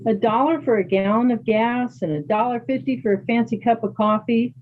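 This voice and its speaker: A woman playing a calm, dignified older yoga teacher in readers theater, chatting and gently probing with questions. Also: a little high, fairly quick, lightly acted. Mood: musing. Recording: thin phone-like band-limited sound.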